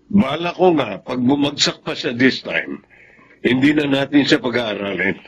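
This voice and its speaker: An actor with a Filipino accent playing a stern, fed-up middle-aged father in a radio drama, speaking in a measured parental way. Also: mid-range voice, animated, fairly bright tone, slightly rough, heavily acted. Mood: exasperated.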